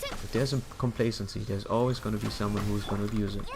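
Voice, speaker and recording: A man with a fairly low voice chats casually while streaming a game. He muses and gives opinions, circling back on his words. Clear noise sits behind him. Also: steady tone, fast talker. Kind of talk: casual rambling commentary while gaming.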